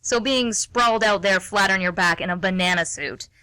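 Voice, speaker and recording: An actress plays an unimpressed woman in a radio comedy. Her voice is crisp and forward, close and dry, as she teases with a deadpan edge. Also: medium pitch, normal speed, no room sound, natural voice. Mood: dry, teasing. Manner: deadpan, needling. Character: dry, teasing, unimpressed female friend at bar. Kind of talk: live radio comedy play.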